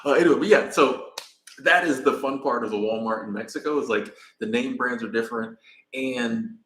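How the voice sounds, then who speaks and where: A man's voice: mid-range voice, normal speed, a bit bright, reverberant, low-quality recording. Laid-back American man chatting live. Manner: rambling, laid-back, thanks viewers by name. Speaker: vlogger. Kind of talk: casual live-stream chat. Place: in Mexico, traveling.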